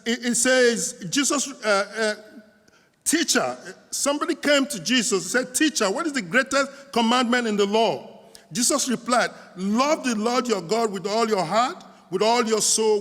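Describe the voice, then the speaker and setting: A man's voice: sits high, a bit bright. A middle-aged man with a Nigerian accent with some London in it, a Baptist minister giving a conference talk, with many fillers and restarts. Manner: many fillers, repeats, quotes scripture. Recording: reverberant hall.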